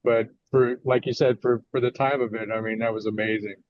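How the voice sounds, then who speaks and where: An older American man answering interview questions as a guest author, conversational and a little halting as he thinks his answers through. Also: mid-range voice, fairly even intonation, no room sound. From United States, California. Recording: dull lo-fi audio.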